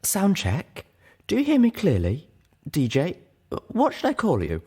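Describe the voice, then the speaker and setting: A man's voice: sits high, wildly swooping pitch, unhurried, a little mellow, husky, voice pushed up, heavily acted. A man voicing an elegant, teasing female unicorn singer in an audiobook, with a coy, theatrical, purring delivery. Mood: poised.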